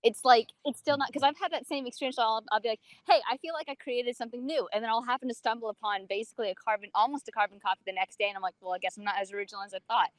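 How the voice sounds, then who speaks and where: Fast-talking, higher-pitched woman. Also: fairly even intonation, dry recording, rough recording. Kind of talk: casual podcast chat.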